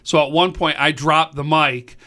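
Man, booming sports-radio regular, eager and rambling, bright forceful tone. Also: moderate pace. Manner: excited, rambling, repeats words. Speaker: high school sports commentator and event organizer. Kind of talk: casual radio sports talk.

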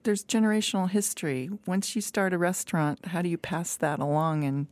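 A woman's voice: lowish voice, a little fast, darkish tone, no echo. A woman co-hosting a food podcast who casually prompts the guest with questions. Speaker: podcast co-host. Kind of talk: casual interview chat.

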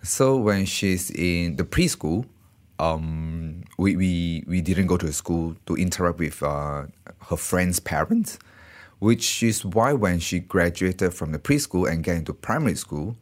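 Man, from Malaysia, very deep voice, founder being interviewed, halting, repeats words. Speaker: startup founder. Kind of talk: interview answers.

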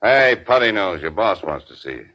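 An actor playing a mocking, needling police detective in a 1940s radio crime drama, sarcastic and taunting. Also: male voice, on the low side, very animated, moderate pace, sharp tone, a little hiss or hum. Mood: taunting, sure. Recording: dull 1940s radio recording.

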